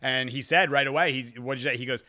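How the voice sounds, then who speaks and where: A man's voice: mid-range voice, fairly even intonation, fast, bright, forceful tone. A man hosting a casual interview, talking in a hyped, slangy way with plenty of swearing. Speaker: podcast host.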